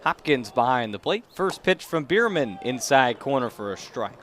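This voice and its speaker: A man's voice: mid-range voice, sing-song, moderate pace, fairly bright tone. A young man doing live baseball play-by-play commentary over outdoor ambient noise. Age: young adult. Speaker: play-by-play announcer. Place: outdoor ballpark during a live game. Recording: noisy outdoor ballpark ambience.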